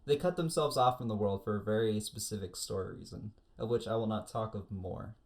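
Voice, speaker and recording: A man's voice: lowish voice, a little fast, a little mellow. A man chatting casually as a panelist on an anime discussion show, explaining things with detailed asides. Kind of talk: casual chat.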